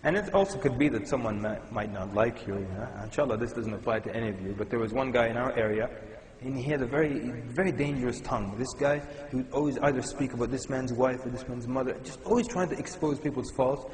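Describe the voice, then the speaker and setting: A middle-aged man from Sudan giving a humorous religious lecture, telling lively stories and dropping in Arabic words. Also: medium pitch, fast, crowd noise. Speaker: Islamic lecturer. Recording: echoey hall with long reverb.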